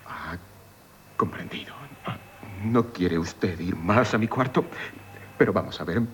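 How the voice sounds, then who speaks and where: An actor playing a lovesick, jealous gentleman in radio theatre, formal and halting as he pleads. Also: deep voice, animated. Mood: pleading, infatuated. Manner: agitated, halting, formal address. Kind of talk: 1970s radio theatre acting. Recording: noisy 1970s radio broadcast.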